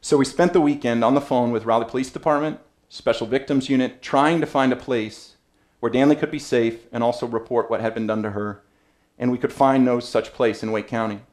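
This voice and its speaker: Man, 30s, keynote speaker at a podium, earnest and measured, reads from notes. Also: medium pitch, steady tone, darkish tone. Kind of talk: prepared speech read from notes. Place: banquet room with an audience.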